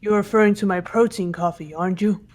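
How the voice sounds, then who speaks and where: A woman's voice: medium pitch, steady tone, fast talker, a little mellow, natural voice. A woman playing a serious, stoic young female fighter in an amateur game dub.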